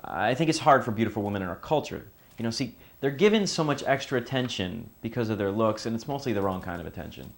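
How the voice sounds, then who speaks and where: A man's voice: lowish voice, expressive, fast, gravelly, hardly any pauses. An actor playing an earnest, admiring man who defends a misjudged woman in naturalistic, interview-like film dialogue.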